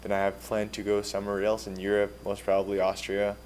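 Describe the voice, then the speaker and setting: Young man, native georgian speaker, low voice, polite and earnest, faint hum. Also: monotone, fairly quick, darkish tone. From Georgia (country). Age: teens. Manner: polite, earnest, brief answers. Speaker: exchange student. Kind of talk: answering questions at a public meeting.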